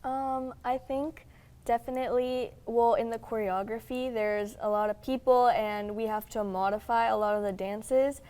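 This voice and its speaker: A woman's voice: fairly high pitch, fairly even intonation, normal speed, darkish tone. A young girl who co-founded the dance group, speaking thoughtfully with hesitant fillers. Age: teens.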